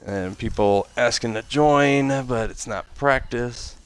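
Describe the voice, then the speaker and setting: A man hosting a racing game league livestream, talking casually with relaxed chuckles and rambling asides. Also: mid-range voice, slow pace, clear, resonant tone, no echo. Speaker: league host streaming a game event.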